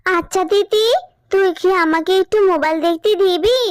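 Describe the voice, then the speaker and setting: A woman's voice: very high-pitched, moderate pace, no echo, clean studio sound. A woman voicing a whiny, insistent little girl who pleads and sulks in a fairy-tale cartoon. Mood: pleading.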